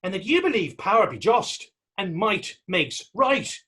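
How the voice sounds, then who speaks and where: Man acting a husky, mocking young Irish vagrant. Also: high voice, sing-song, a little slow, bright, forceful tone, exaggerated voice. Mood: needling. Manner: taunting, sarcastic, theatrical dialect speech. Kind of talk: readers theater stage acting.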